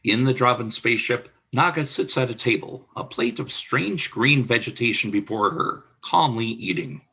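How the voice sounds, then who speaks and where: Brief: male narrator for a radio play, measured delivery, bright but husky tone. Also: medium pitch. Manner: measured, announcer-like.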